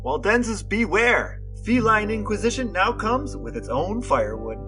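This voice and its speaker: A man's voice: high voice, very animated, unhurried, over music. An American man co-hosting a podcast in a playful, mock-serious way, part dramatic reading and part casual chat. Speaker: podcast co-host.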